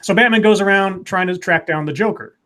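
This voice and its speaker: A man with a fairly high speaking voice talks casually on a live stream. He builds story pitches out loud, rambling and swearing now and then, with real enthusiasm. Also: fairly quick. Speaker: podcast co-host.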